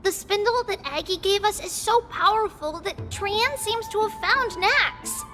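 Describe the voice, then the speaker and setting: A bubbly little girl from an anime-style game. Her voice is extremely high and very animated, yet unhurried, with childlike eagerness, over clear background music. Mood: earnest. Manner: excitable, third-person childlike talk. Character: bubbly childlike little girl who speaks of herself by name.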